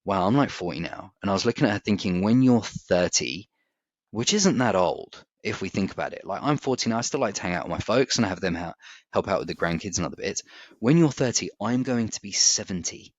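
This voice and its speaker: A man in his forties with a very low voice, chatting candidly about his own life and laughing easily. The recording is dry and a touch dull. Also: expressive, a little fast, dry recording. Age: 40s. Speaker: podcast co-host. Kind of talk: casual chat. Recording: dry, slightly dull audio.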